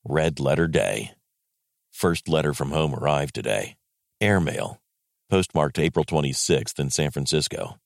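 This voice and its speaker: Man acting American army general, very deep voice, clipped matter-of-fact diary entries, gravelly, dry studio sound. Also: lively intonation, a little slow, dry recording, full character voice. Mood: terse, composed. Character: male US Army general writing a terse wartime diary. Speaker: actor reading a wartime diary. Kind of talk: dramatic diary reading.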